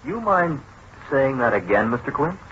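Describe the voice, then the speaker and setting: A man's voice: medium pitch, big pitch swings, normal speed, fairly bright tone, highs cut off. A man playing a blunt, impatient, skeptical newspaperman in a 1940s radio drama. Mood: incredulous. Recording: noisy, band-limited 1940s radio recording.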